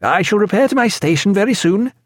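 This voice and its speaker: A man voicing a calm, level-headed ship's physician speaking in measured tones. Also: mid-range voice, slightly rough, no echo, studio quality.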